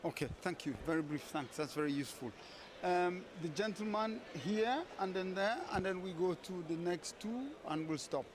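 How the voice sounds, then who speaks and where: Male moderator, high-pitched voice, clipped directions to audience, clear background noise at a live event. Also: normal speed. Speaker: panel moderator taking audience questions. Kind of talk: brisk event moderation, unscripted.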